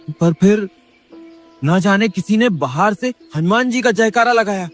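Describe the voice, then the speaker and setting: Man voicing a young family man, cartoon, stammering, bewildered, high voice, slow. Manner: hesitant, stammering, asks questions. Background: faint background music.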